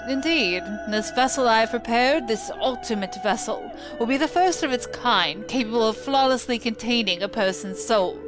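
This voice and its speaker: A woman's voice: fairly high pitch, animated, normal speed, hardly any pauses, close to an everyday voice, low-quality recording. A woman playing a proud, obsessive dead homunculus researcher in a video game, with a formal, theatrical, lecturing manner. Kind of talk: scripted video game voice acting. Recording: music behind the voice.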